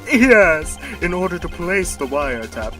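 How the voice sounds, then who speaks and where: Theatrical, smug corporate villain, swooping delivery. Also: male voice, a little high, big pitch swings, measured pace, sharp tone, distant mic, soft background music, heavily acted. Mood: smug testimony. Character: flamboyant, smug male corporate CEO villain on the witness stand. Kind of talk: hobby fandub of a courtroom game.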